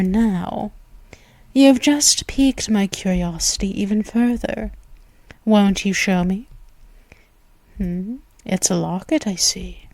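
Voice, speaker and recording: Woman doing a slow purring vampire lady for an asmr roleplay, lots of whispering, super dry close mic. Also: medium pitch, warm tone, no room sound, low-quality recording. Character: seductive, menacing female vampire ruler.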